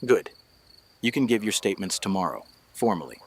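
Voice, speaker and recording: An actor playing a guarded, tired small-town police officer in a noir audio drama, clipped and matter-of-fact. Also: male voice, deep voice, big pitch swings, a little fast. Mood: businesslike.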